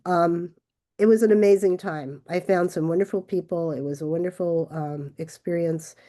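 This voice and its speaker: A hoarse older woman getting over a cold, answering interview questions hesitantly over a video call. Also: lowish voice, steady tone, normal speed, warm tone. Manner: hesitant, with many ums and restarts. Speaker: guest author.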